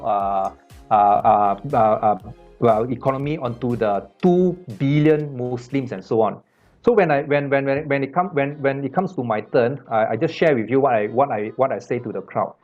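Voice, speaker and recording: Halting, repetitive Malaysian businessman. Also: mid-range voice, a little mellow, muffled recording. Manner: halting, many repeated words and fillers. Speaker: business panel guest. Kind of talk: casual panel conversation.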